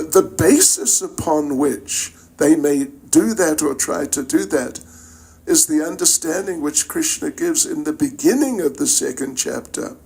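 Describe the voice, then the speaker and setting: Slow, gravelly older New Zealand man lecturing. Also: fairly high pitch, a little mellow. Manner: halting, reflective, conversational, checks in with listeners. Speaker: spiritual teacher giving a lecture. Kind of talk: informal religious lecture to an audience.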